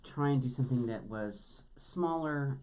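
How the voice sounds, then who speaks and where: A woman talks through a beading project with an easy, self-assured manner. Her voice sits very low and sounds mellow and warm, and she muses as she explains. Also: measured pace. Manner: chatty, self-assured, musing. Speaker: co-host. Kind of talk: casual chat, craft demonstration.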